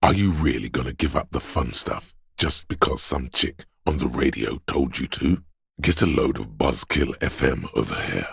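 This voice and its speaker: A profoundly low, husky male voice playing the inner voice of addiction in a video game. It crackles with gravel and coaxes slyly, seedy and indulgent. The sound is dry and close, like a tempter inside the head. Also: lively intonation, moderate pace, a bit bright, dry recording, full character voice. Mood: mocking. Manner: sly, seductive, indulgent coaxing. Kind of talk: video game character voice lines.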